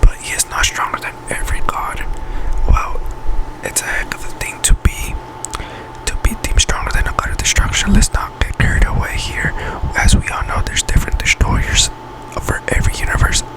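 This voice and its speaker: A man reading an article aloud in an ASMR whisper, halting and often stumbling over words and correcting himself. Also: rough recording. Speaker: ASMR channel host.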